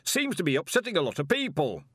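A genial old wizard lecturer, curious and chatty, who calls out over a noisy room, as a man plays him. His voice is lifted high and lively. It sounds close and dry. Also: fast talker, husky, dry recording, heavily acted. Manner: chatty, raised voice.

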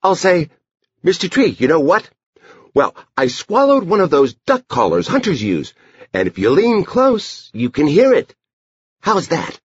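A man acts out a scheming little girl from an audiobook story, eager and bossy. The voice jumps high and cajoles insistently, on a slightly muffled recording. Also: wildly swooping pitch, a little slow, slightly rough, no echo, exaggerated voice.

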